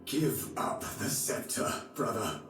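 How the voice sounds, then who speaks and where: An actor playing a righteous, resolute knight lord, earnest and commanding, in a game cutscene. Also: male voice, medium pitch, big pitch swings, slow pace. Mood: grave. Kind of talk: dramatic video game cutscene acting. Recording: distant, very echoey, faint music behind.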